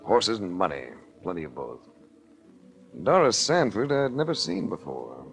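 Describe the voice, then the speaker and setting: Low-voiced hard-boiled private eye. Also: male voice, unhurried, faint music behind, exaggerated voice. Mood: wry narration. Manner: wry, terse, deadpan. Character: cool, hard-boiled private investigator narrating his case. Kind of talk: 1940s radio detective acting and narration. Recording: noisy vintage 1940s radio recording.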